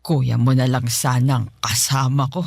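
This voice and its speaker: A woman's voice: a bass voice, little pitch movement, gravelly, darkish tone, no echo, studio quality, full character voice. A woman playing a cranky, stubborn, ailing elderly mother who grumbles and finds fault in a radio drama. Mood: irritated, stubborn. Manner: grumbling, fault-finding, slow.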